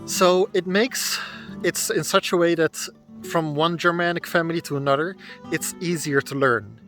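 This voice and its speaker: A Dutch-accented man in his thirties chats to camera out in the woods, working through his ideas as he talks. His voice is bright and a little high for a man. Soft guitar music plays quietly underneath. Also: normal speed. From Netherlands. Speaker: vlogger. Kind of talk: casual talk to camera. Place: woods outdoors.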